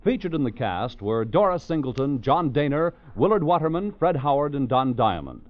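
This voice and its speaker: A man's voice: mid-range voice, big pitch swings, normal speed. A man announcing for 1940s network radio with a formal, polished, promotional scripted delivery. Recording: muffled old 1940s radio recording.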